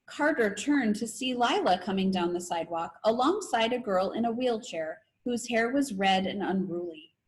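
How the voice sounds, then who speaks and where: Female read-aloud narrator, medium pitch, children's chapter-book storytelling. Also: lively intonation, normal speed, few pauses.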